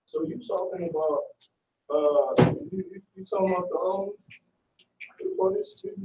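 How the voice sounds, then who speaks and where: Hesitant man with gravelly voice, far off. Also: sits high, wildly swooping pitch, unhurried. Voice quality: hesitant, groping for words. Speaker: student asking questions. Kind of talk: classroom question. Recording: echoey room, distant, noisy background.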